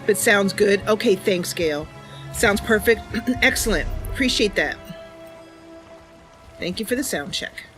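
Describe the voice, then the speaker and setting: A woman streamer talks casually to her viewers, thinking aloud as she goes. Her pitch moves around a lot, and game music plays softly behind her. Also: animated, unhurried, pauses often. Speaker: live streamer and channel host.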